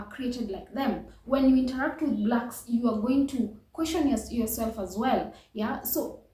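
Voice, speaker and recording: A woman's voice: fairly high pitch, a little mellow, echoey room, poor audio. A woman hosting a reaction channel, talking earnestly and reflectively with many fillers and restarts. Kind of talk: casual reaction commentary.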